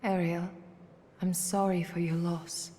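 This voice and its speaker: A woman's voice: lowish voice, level tone, takes it slowly, dark tone. An actress playing a shrewd, wry and confident female conspirator in a video game. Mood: serious. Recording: clear ambient noise behind voice.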